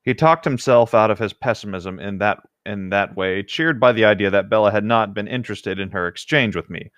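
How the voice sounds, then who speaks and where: A man's voice: on the low side, a little fast, a bit bright, dry recording, dull audio. A man reading novel narration aloud as a co-host, dropping into casual asides. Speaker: co-host of a comedic read-aloud show.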